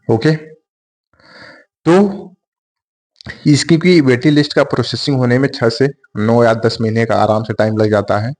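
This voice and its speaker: A man's voice: medium pitch, darkish tone. A man hosting an exam coaching channel, explaining in a loose, conversational way with frequent fillers and restarts. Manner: conversational, many fillers and restarts, addresses viewers as guys. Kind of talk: informal exam-update explainer.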